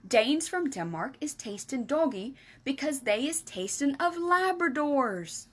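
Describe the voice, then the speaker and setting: A woman's voice: sits high, very animated, measured pace, pitched above the performer's own voice. A woman voicing a big friendly male giant for a children's storybook, exuberant and kindly, with mangled grammar and emphatic nonsense words. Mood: triumphant, excited. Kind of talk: animated children's storybook reading.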